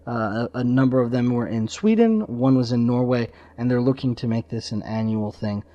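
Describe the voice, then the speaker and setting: A man with a mid-pitched voice hosts a podcast in a casual, slightly rambling style. He talks informally through his introductions. The recording is a little dull and lo-fi. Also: moderate pace. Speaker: podcast host.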